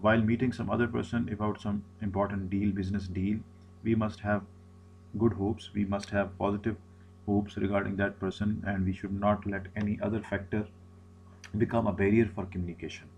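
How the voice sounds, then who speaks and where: A man's voice: fairly low pitch, level tone, a little fast, gravelly, darkish tone, a little hiss or hum, rough recording. A man, an Urdu speaker, giving a recorded lecture, often repeating himself and restarting phrases. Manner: repetitive, many restarts and fillers.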